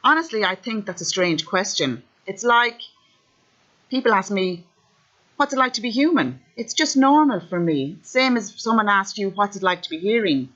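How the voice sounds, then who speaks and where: A woman's voice: mid-range voice, expressive, normal speed, a bit bright. Woman, Irish accent, plain direct delivery, first-person testimony, dull lo-fi recording. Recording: dull lo-fi audio.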